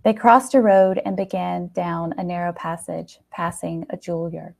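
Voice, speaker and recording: A woman's voice: on the low side, flat delivery, moderate pace, creaky, darkish tone, no room sound. A woman reading short fiction aloud as a scripted narration. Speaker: story reader.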